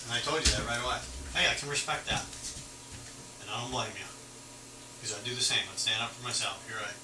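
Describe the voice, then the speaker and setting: A man's voice: mid-range voice, steady tone, a little fast. A man working as a police officer, casually explaining the law in an easygoing, conciliatory way and often repeating his points. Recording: noisy, echoey audio, distant mic.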